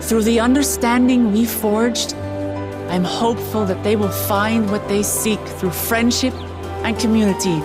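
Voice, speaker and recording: A woman voicing an earnest young princess and hopeful heir, giving a measured, sincere speech in a video game cutscene. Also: fairly high pitch, fairly even intonation, loud music behind the voice, lightly acted. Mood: hopeful, resolute.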